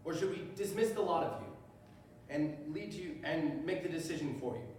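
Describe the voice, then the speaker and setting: A man on stage playing a principled defense attorney with a measured, rhetorical courtroom delivery. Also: on the high side, darkish tone, lightly acted. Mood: persuasive. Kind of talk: live stage readers theater. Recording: distant mic, echoey room, faint noise.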